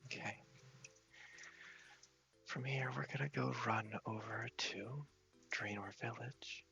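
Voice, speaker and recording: A man softly narrating his gameplay ASMR-style into a headset microphone in a casual, unhurried, rambling way, at times dropping to a whisper. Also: medium pitch, fairly even intonation, vocal fry, highs cut off, soft background music. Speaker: host of a gaming channel. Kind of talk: soft-spoken ASMR gameplay commentary.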